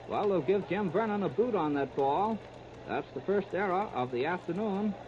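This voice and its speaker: A male baseball announcer gives a live 1930s radio play-by-play in a fairly high voice. He narrates in short, clipped, present-tense phrases. The old recording is muffled, with clear hiss and noise over it. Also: animated, normal speed.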